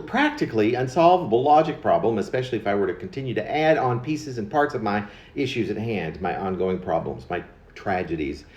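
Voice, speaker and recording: A man's voice: on the low side, a little mellow, roomy sound, highs cut off. An American man in his late fifties reading his own rambling autobiographical poem in a wry, digressive manner, often repeating words. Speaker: poet reading own work.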